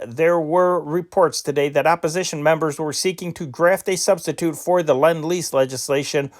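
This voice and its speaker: A man reading old newspaper dispatches aloud in an unpolished, measured way, with occasional slips. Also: on the high side. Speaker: news reader.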